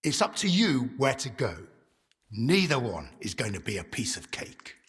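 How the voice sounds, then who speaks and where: An actor playing a businesslike leader of armed men in a video game, with a husky, authoritative, matter-of-fact delivery. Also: male voice, mid-range voice, very animated, moderate pace, fairly bright tone, full character voice. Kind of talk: video game dramatic acting.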